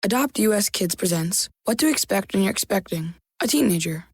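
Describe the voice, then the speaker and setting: A woman's voice: mid-range voice, animated, brisk. A woman reading a scripted public service announcement.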